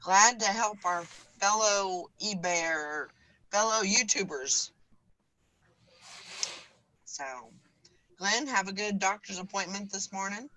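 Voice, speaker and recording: A woman hosts a casual group chat with a bright, forward tone and a slight hoarseness. She talks in an easy, chatty way, stretching her fillers. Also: mid-range voice, slow pace, long pauses, no echo, poor audio. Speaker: show host.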